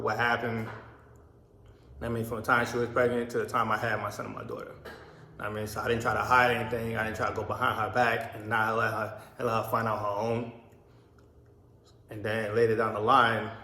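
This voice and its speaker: Barbados-born man in his 30s, raised in the US, rambling vlog story, monotone, roomy echo. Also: mid-range voice. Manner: rambling, with many restarts and "I mean". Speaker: vlogger. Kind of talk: casual personal storytelling. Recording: echoey room.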